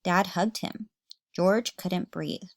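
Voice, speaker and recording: A woman's voice: lowish voice, slow, dry recording, studio quality. A woman teacher reading a children's story aloud expressively, addressing young listeners.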